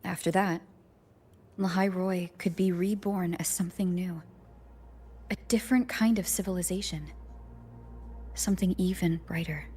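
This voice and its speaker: Actress as a thoughtful wandering heroine, echoing. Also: female voice, lowish voice, steady tone, moderate pace, pauses often, warm tone, slight background noise, natural voice. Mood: earnest, reflective. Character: female wandering hero, thoughtful, earnest, gentle, young adult. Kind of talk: video game voice acting, letter read as narration. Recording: echoey, long reverb, music behind.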